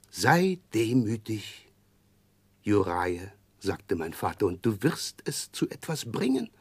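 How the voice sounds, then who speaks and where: A slimy humble-acting clerk, sly and spiteful underneath, old radio play, dry studio sound. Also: male voice, fairly low pitch, a little mellow, breathy edge, no room sound, full character voice. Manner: obsequious, self-abasing, sly. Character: fawning, falsely humble, scheming clerk with hidden spite. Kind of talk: 1960s German radio drama acting.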